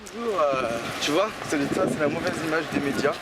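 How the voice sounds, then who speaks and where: A man's voice: on the high side, expressive, brisk. A young man showing his neighbourhood outdoors, hesitant with many fillers and slipping in English words. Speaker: local guide in interview. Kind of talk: casual walking interview. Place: outdoors on a city street. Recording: distant mic, loud street traffic.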